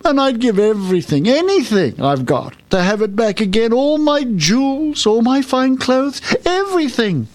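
High, gushing young princess. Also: male voice, wildly swooping pitch, normal speed, hardly any pauses, dry recording. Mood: distressed wailing. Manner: exclaiming, gushing. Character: young spoilt fairy-tale princess, dramatic and impulsive.

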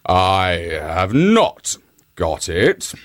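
A man voicing a fierce, weakened male lion with a low, slow growl. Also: huge pitch swings, fairly bright tone, no echo, studio quality, exaggerated voice. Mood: gruff.

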